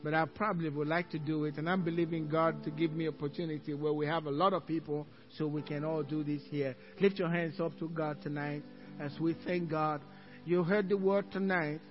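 High-voiced Nigerian pastor preaching. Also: male voice. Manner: emphatic preaching, quoting scripture, chuckling asides to the congregation. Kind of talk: evening church sermon. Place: church sanctuary. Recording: dull lo-fi church recording.

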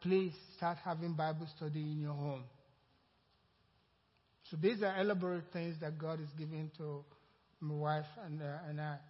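A man's voice: fairly high pitch, steady tone, normal speed, long pauses. A man with a Nigerian accent, speaking as a pastor to his congregation in a rambling, conversational way. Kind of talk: informal sermon announcement. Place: church sanctuary. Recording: muffled church hall sound with long reverb.

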